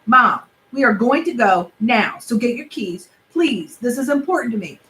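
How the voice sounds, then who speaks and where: A woman's voice: fairly high pitch, animated, normal speed, fairly bright tone, voice pushed up. Woman playing a frantic, pleading young boy. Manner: urgent, insistent.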